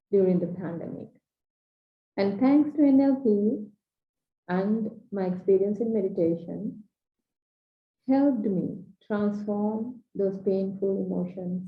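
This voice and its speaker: Sri lankan lady coach, talks real slow and earnest, muffled echoey sound. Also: medium pitch. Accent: Sri Lankan English. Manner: measured, earnest, pausing between short phrases. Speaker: transformational coach. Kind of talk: slow reflective training talk. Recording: dull echoey room recording.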